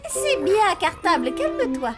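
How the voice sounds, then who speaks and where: A woman playing an excitable, giggly child in a children's cartoon, shrill and animated. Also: unhurried, exaggerated voice. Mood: soothing. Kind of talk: animated children's series voice acting. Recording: loud music underneath.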